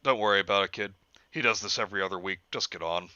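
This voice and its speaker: A man voicing an exasperated middle-aged male bus driver with a gruff manner. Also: lowish voice, fast, bright, forceful tone, no room sound, highs cut off. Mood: weary.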